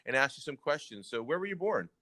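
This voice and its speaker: A man's voice: mid-range voice, very animated, fast, bright, forceful tone, no room sound. A man hosting a podcast interview, upbeat and encouraging toward his guest. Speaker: podcast host.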